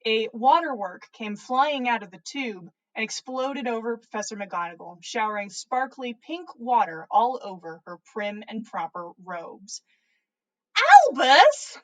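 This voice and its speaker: A woman's voice: fairly high pitch, a little slow, few pauses, poor audio. A woman podcast host with an informal manner, reading stories aloud and chatting casually. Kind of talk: animated story reading and casual livestream chat.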